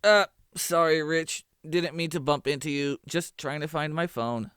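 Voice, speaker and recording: A man acts out a jumpy young man in a horror audio drama. His voice sits well above the performer's usual one and gets loud, with a panicky, exclaiming edge. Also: on the high side, unhurried, clear, resonant tone, no room sound, studio quality, pitched above the performer's own voice. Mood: nervous, apologetic.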